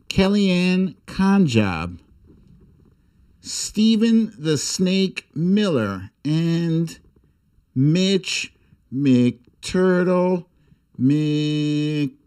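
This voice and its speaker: Theatrical man with swooping, highish voice. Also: clear, resonant tone. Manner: theatrical, drawn-out emphasis; sarcastic, irreverent, swearing. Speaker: host of a political humour livestream. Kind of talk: comic political commentary and mock storytime reading.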